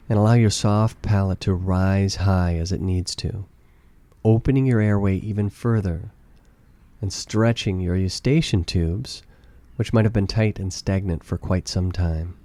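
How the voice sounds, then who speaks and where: A man leads a guided meditation in a low, very mellow voice. His delivery is calm and gentle, giving soothing instructions in a relaxed, warm tone.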